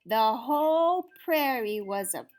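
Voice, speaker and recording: Woman, storytime reader, high-pitched, very slow, expressive, dramatic phrasing, background music. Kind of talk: expressive children's picture book read-aloud.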